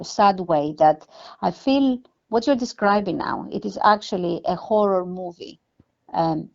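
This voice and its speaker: A woman answering interview questions over the phone as a company executive, explaining things in an earnest, measured way. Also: creaky, a little mellow. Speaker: film production company CEO being interviewed. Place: on a phone call. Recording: muffled, low-fidelity phone interview.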